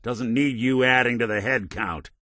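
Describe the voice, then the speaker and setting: A man's voice: medium pitch, normal speed, sharp tone, no echo, studio quality, lightly acted. A man voicing a weary, wry android private detective in a video game, world-weary and sardonic in an old-fashioned noir way. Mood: disappointed, sardonic.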